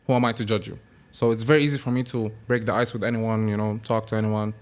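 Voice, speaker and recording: A man in his thirties from Sudan, a podcast guest talking in a relaxed, easygoing way and laughing often. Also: fairly low pitch, quick pace. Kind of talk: casual chat.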